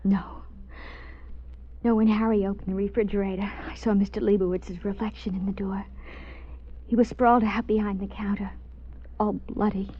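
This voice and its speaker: An actress playing a frightened but quick-witted woman held at gunpoint, breathy and husky, in 1950s radio drama style. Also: medium pitch, flat delivery, close to an everyday voice. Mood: shaken. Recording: muffled old radio recording.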